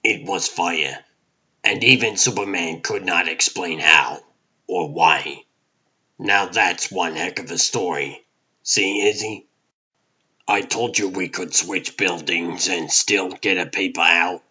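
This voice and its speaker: A man playing a gruff, wry middle-aged newspaper chief in a comic book dub. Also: low voice, takes it slowly, sharp tone, lo-fi sound, full character voice. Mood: wry, matter-of-fact.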